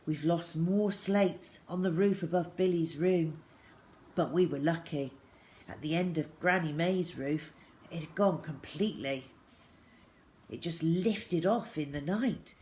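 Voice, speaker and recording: A woman reading a children's novel aloud as a first-person diary, with expressive, deliberate phrasing. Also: deep voice.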